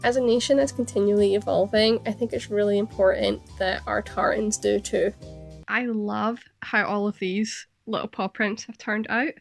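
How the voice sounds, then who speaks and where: A woman's voice: medium pitch, steady tone, normal speed, creaky, soft background music. A Scottish woman hosting a sewing vlog, chatting and narrating casually. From Scotland.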